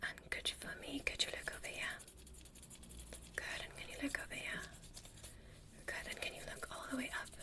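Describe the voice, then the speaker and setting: A woman playing a gentle, curious alien doctor in a whispered ASMR roleplay, breathy and soothing, softly giving instructions. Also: moderate pace, long pauses, close to an everyday voice. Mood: soothing, attentive. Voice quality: breathy, mostly unvoiced whisper. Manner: soothing, softly instructive, repeats short praise. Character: gentle curious alien medical examiner, female. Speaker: ASMR performer.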